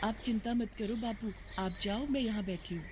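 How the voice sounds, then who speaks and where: A woman's voice: a little high, normal speed, background noise, close to an everyday voice. A woman voicing a modest, respectful young boatman's daughter in a devotional storybook, polite and earnest. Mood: dutiful. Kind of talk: dramatized devotional storybook acting. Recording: music bed behind voice.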